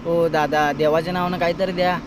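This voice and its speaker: A man acting a village buddy who plays straight man in a comedy sketch. His voice is high for a man with a Marathi accent, over clearly noisy amateur outdoor sound. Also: little pitch movement, a little fast, natural voice. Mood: pleading. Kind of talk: acted comedy sketch dialogue.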